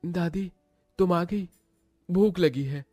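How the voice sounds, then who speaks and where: A man voicing an eager, innocent young grandchild in a cartoon story, pleading and excitable. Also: high voice, slow, no echo, clean studio sound, faint music behind, pitched above the performer's own voice. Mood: hungry, pleading.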